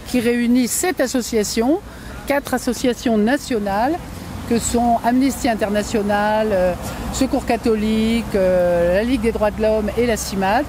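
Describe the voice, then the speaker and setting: A woman with a fairly high voice gives a semi-improvised introduction as a spokesperson. She hesitates and rephrases as she goes. A little outdoor noise sits behind her. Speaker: collective spokesperson introducing a press conference. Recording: faint outdoor urban noise.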